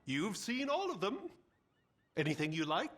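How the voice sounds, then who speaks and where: A man's voice: high voice, sing-song, moderate pace, a bit bright, exaggerated voice. A man playing an effusive, fussy and flamboyant old-time portrait photographer in a video game. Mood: wistful, fussy. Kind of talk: theatrical video game acting.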